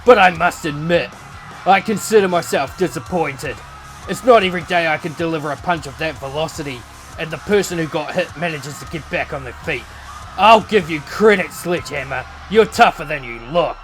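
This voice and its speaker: A man playing a composed but menacing former champion fighter with a dark, unstable side, speaking formally in a visual novel. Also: fairly high pitch, moderate pace, soft background music, lightly acted. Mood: coolly menacing.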